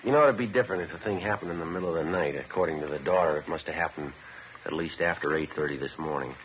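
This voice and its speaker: Very deep-voiced, deadpan police detective. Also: male voice, fast talker. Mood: matter-of-fact. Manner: matter-of-fact, deadpan, understated. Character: terse, methodical male police detective narrating his case. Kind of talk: 1950s radio police drama acting, clipped narration. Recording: noisy, dull vintage 1950s radio recording.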